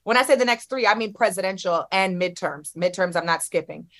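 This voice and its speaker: Woman in her 30s, outspoken guest, bright forceful tone. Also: fairly low pitch, expressive, fairly quick. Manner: outspoken, laughing easily. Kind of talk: casual political chat.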